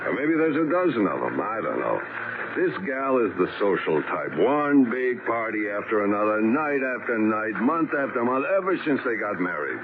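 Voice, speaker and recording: A man's voice: on the low side, big pitch swings, moderate pace. An actor playing a folksy, knowing male police sergeant in a 1950s radio detective drama. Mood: sardonic, knowing. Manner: colloquial, knowing, sardonic. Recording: noisy, muffled vintage radio recording.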